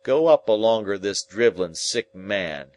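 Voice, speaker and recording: A man's voice: fairly low pitch, expressive, measured pace, a little mellow, no echo. A man voicing a crafty, cowardly male swindler, scornful and blustering.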